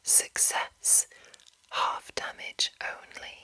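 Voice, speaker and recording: A woman host speaking in a breathy ASMR whisper, with careful, deliberate phrasing. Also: bright, forceful tone. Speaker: ASMR host reading a monster manual.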